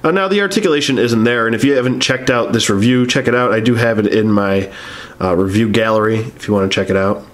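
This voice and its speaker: A man's voice: lowish voice, quick pace. A man with a stuffy nose from being sick, chatting casually about his action figures in a rambling, hesitant way full of ums and uhs. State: sick and stuffy-nosed, sniffling. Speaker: toy collector reviewing action figures. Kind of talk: casual review chat to camera.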